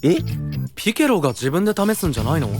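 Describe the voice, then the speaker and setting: A man's voice: on the high side, sing-song, fast, over music. A man playing a cool, sceptical young knight, a dry and matter-of-fact straight man in a fantasy audio drama. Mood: unimpressed.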